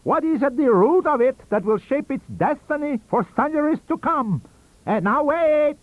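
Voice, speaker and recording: High-pitched, cunning old magician. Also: male voice, animated, dry recording. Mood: eager. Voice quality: wheezy. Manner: theatrical, halting, emphatic. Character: cunning, wheezy old foreign male scientist-magician, eager and mystical. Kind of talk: audiobook character reading. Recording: dull lo-fi audio cassette.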